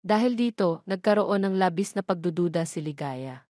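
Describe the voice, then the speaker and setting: A woman's voice: on the low side, lively intonation, moderate pace, mellow, dry recording, studio quality. A woman reading scripted narration for an audio drama love story.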